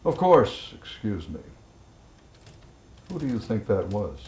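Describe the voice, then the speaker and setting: Skeptical detective, film drama: fairly low voice, understated, patient probing, slight background noise. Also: male voice, sing-song, slow pace, darkish tone. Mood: calm, probing. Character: calm, persistent, skeptical police interrogator. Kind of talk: naturalistic film acting.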